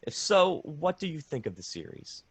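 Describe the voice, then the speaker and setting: A man's voice: on the high side, very animated, normal speed, gravelly, fairly bright tone, breathy edge, no room sound. A man interviewing a guest about video games in an informal, somewhat hesitant way.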